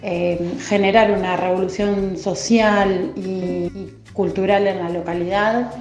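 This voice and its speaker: A woman, a librarian, speaking in a measured way with frequent hesitations about her library. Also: mid-range voice, steady tone, a little mellow, echoey room, soft background music. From Argentina. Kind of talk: interview testimony.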